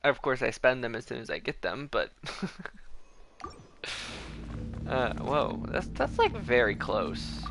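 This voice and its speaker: A man's voice: mid-range voice, very animated, takes it slowly, creaky, pauses often, noisy background. A man giving casual live commentary while streaming a video game.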